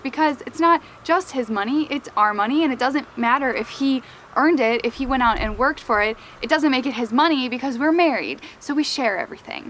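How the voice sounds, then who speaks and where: Young female vlogger, high voice, light Wisconsin accent, chatty filler-heavy delivery, faint background hiss. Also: a bit bright. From United States, Wisconsin. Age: young adult. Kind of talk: casual Q and A chat to camera.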